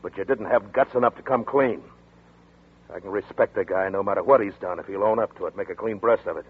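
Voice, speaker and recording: A gruff hard-boiled detective. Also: male voice, on the low side, fast. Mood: angry, contemptuous. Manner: gruff, clipped, contemptuous. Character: hard-nosed tough detective brother-in-law. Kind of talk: 1940s radio drama acting. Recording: tinny lo-fi 1940s radio broadcast.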